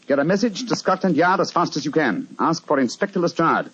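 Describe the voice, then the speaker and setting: An actor playing a brisk, commanding English gentleman detective in an old-time radio drama, giving authoritative orders. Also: on the high side, expressive, a little hiss or hum. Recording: dull old radio recording.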